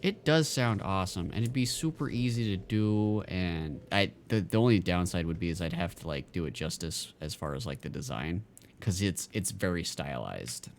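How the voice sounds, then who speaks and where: A man's voice: low voice, animated, moderate pace, a little hiss or hum. A man streaming a game, chatting casually and rambling with lots of fillers and restarts. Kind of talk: casual chat while gaming.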